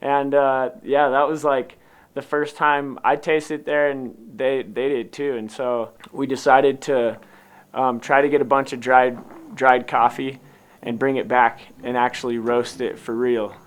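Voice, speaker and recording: Clear-voiced American man telling a story casually. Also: mid-range voice, level tone, measured pace. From Utah, United States. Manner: informal, unscripted, loosely rambling. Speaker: coffee company founder telling its story. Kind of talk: casual personal storytelling to an interviewer.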